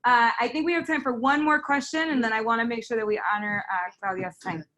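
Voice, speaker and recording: Upbeat woman host with a higher voice. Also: fairly even intonation, fast, fairly bright tone. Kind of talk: casual interview hosting.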